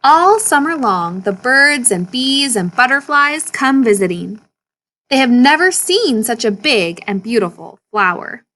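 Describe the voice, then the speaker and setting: A woman with a fairly high voice reads a picture book aloud. She takes her time, going very slowly through short sentences. Her delivery is expressive storytelling aimed at young children. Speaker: story reader.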